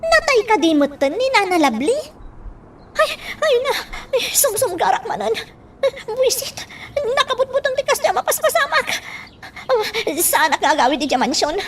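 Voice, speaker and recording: A very high-pitched young heiress. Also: female voice, sing-song, normal speed, pauses often, fairly bright tone, exaggerated voice. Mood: frightened, muttering. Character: young heiress, anxious, easily exasperated daughter. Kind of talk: radio drama acting. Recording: faint noise, distant microphone.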